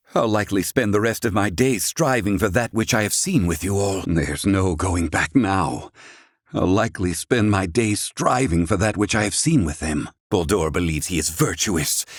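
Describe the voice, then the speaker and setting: A man acting a proud, gruff, middle-aged inventor in a fantasy video game, with formal, haughty, theatrical diction. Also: low voice, no echo, clean studio sound. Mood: reflective, earnest, then stern, scornful.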